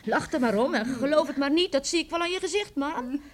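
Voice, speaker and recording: An actress playing a lively older grandmother in a radio drama, telling eerie tales with chuckles and hushed suspense. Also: high-pitched, a little fast, a loud crowd. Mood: eerie, confiding. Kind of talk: radio drama acting, storytelling.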